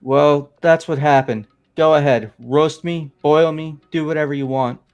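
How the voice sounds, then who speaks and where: Guy doing a jittery young dude spilling a confession, bit high voice, faint music under it. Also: fairly even intonation, unhurried, darkish tone, smooth, muffled recording. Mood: resigned. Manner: rambling, defensive storytelling. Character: young man confessing to a killing, nervous. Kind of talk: fan voice acting of a game.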